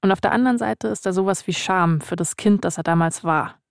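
A woman's voice: mid-range voice, a little fast, gravelly, smooth, dry recording, studio quality. A woman, speaking as a podcast host in narration and casual chat.

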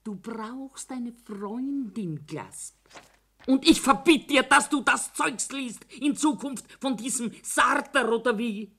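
A woman's voice: fairly high pitch, takes it slowly, fairly bright tone, breathy edge, exaggerated voice. An actress playing a fussing middle-aged mother, by turns coaxing and scolding. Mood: nagging. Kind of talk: acted dialogue in a regional satire.

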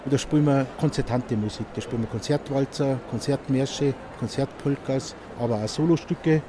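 A middle-aged man from Munich with a Bavarian accent, a bandleader chatting in a relaxed interview. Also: medium pitch, moderate pace. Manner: relaxed, chatty, with tag questions. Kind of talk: casual interview. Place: outdoors at a festival ground. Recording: outdoor traffic noise behind.

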